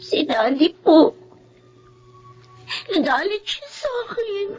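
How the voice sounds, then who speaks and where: An actress playing a tearful, pleading teenage daughter in a Filipino radio drama. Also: female voice, very high voice, very animated, takes it slowly, darkish tone, heavily acted, low-quality recording. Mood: sobbing, hurt. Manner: whining, pleading, respectful.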